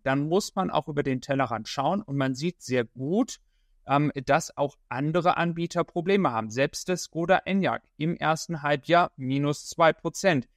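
A man's voice: a little high, no room sound, clean studio sound. A man, giving informal, explanatory news commentary to his viewers as an online host. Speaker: YouTube host presenting electric car news.

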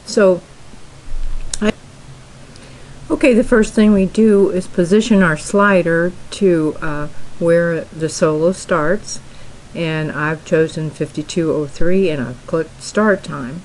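A middle-aged woman hosting a software tutorial, explaining each step plainly and slowly with frequent fillers. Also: fairly low pitch, a little hiss or hum, poor audio. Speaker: tutorial host. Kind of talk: screen-recorded software tutorial.

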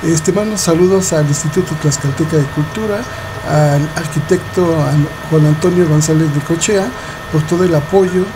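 A man with a somewhat high voice talks into a phone camera in a big outdoor sculpture workshop. He sounds friendly and hesitant, full of fillers, and steady background noise runs under the talk. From Mexico. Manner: friendly, halting, many fillers. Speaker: sculptor and interviewer. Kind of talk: casual on-site interview. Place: large open-air sculpture workshop.

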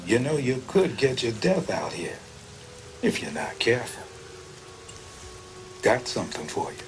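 An actor playing a calm, cryptic older American mail carrier in a video game, folksy, wry and unhurried. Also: male voice, medium pitch, over music.

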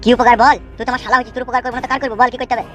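A woman's voice: on the high side, flat delivery, very fast, bright, forceful tone, faint music behind. A woman voicing a crude, grumbling male village son-in-law in a comedy cartoon, coarse and cheeky.

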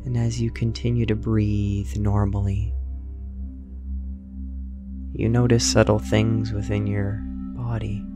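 A man guiding a sleep meditation, speaking slowly and softly and sometimes dropping to a whisper. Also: lowish voice, flat delivery. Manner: slow, soft and soothing, drifting into whispers. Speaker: meditation guide. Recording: dull lo-fi voice over singing bowl music.

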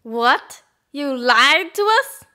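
A woman's voice: sits high, sing-song, very slow, pushed and bright, voice pushed up, exaggerated voice. A woman voicing a brave, courteous, trusting male turtle in an earnest, formal way. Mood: shocked.

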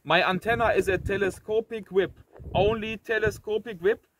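A man in his thirties doing a casual outdoor radio demonstration, repeating short phrases clearly. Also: sits high, steady tone, normal speed. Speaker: amateur radio operator presenting his antenna. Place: outdoors on a windy farm road. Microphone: camera a few steps away. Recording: distant mic with wind and outdoor noise.

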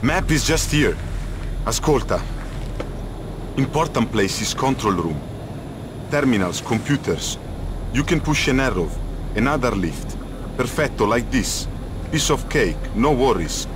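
A man playing a cowardly, excitable, whining guide in a video game, speaking broken English with an Italian accent. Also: mid-range voice, unhurried, long pauses, background noise. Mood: helpful. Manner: dramatic, broken English, third-person self-reference. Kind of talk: video game character acting.

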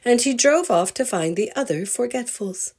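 A woman's voice: fairly high pitch, moderate pace, husky. A woman reading a children's storybook aloud, expressively, as a storyteller addressing young listeners. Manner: expressive, asking listeners questions.

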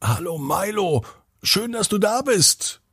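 A man voicing a friendly, calm and welcoming man in the moon in a children's storybook tale. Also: high-pitched, takes it slowly, breathy edge, dry recording, clean studio sound, voice pushed up, full character voice. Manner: expressive storybook delivery.

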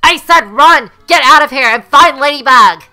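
A woman's voice: high voice, lively intonation, moderate pace, bright, forceful tone, soft background music, exaggerated voice. A woman voicing a brash, commanding young superheroine in a fan cartoon comic dub. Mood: urgent.

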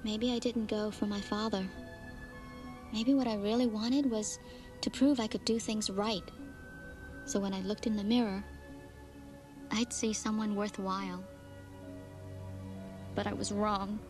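A woman's voice: medium pitch, steady tone, measured pace, pauses often, darkish tone, faint music behind, close to an everyday voice. A woman reading a storybook aloud, voicing a brave young woman soldier in an earnest, urgent way.

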